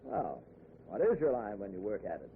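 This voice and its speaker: An actor playing a friendly, talkative driver in a breezy, nosy manner, in 1940s radio drama style. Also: male voice, fairly low pitch, huge pitch swings, normal speed. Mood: nosy, chummy. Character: chatty, friendly middle-class man driving at night. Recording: tinny, noisy old radio recording.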